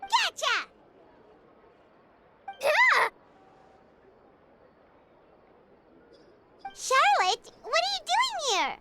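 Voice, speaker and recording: A woman voicing a tiny, excitable fairy companion in a game, inquisitive and bossy, exclaiming in the third person. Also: very high voice, moderate pace. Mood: surprised. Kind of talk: animated game character acting.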